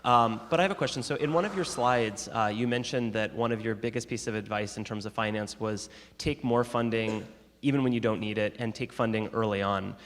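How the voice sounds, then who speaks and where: A man's voice: fairly low pitch, brisk. A man co-hosting a lecture, asking the guest long, carefully framed questions. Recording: long hall reverb.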